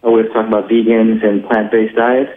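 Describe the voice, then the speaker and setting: A man from Colombia, a documentary filmmaker, answering interview questions in an earnest, halting way. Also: lowish voice, flat delivery, phone-quality sound.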